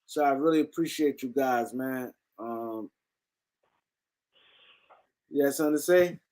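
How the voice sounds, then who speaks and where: A man's voice: medium pitch, a little slow, darkish tone, poor audio. A man hosting a livestream in casual, informal, loosely worded chat. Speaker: livestream host.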